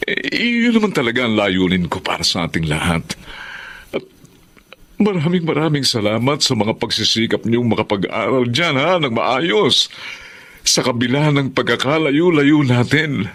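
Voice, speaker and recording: A man's voice: medium pitch, animated, moderate pace, fairly bright tone. A man playing a loving, self-sacrificing husband and father in an emotional Filipino radio drama, earnest and heartfelt. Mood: proud, longing.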